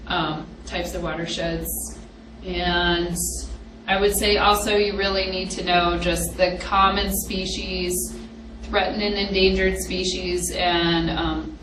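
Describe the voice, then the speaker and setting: A young woman with a quiet voice giving an informal study-session lecture, hesitant with frequent ums. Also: on the low side. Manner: hesitant, many ums, laughs softly. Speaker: presenter on aquatic ecology. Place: classroom with hard walls. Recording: echoey classroom from far off, background hiss.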